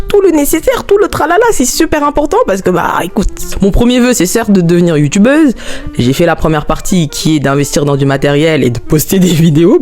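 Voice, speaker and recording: A woman, chatting casually to camera in her own vlog, expressive and chatty, jumping up high when excited. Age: 20s. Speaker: YouTuber.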